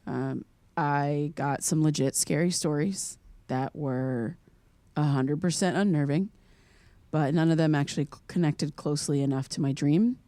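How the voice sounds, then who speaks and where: An actress playing an earnest, curious young American podcaster in an audio drama, speaking in a conversational, slightly hesitant way. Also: female voice, deep voice, a little slow, warm tone, no echo. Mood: casual, candid. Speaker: podcast host character.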